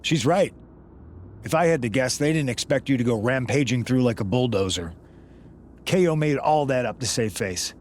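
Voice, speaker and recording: A man's voice: medium pitch, animated, moderate pace, darkish tone, a little hiss or hum, close to an everyday voice. A man performing a shrewd, supportive wrestling manager in scripted game dialogue, assured and matter-of-fact. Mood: reassuring.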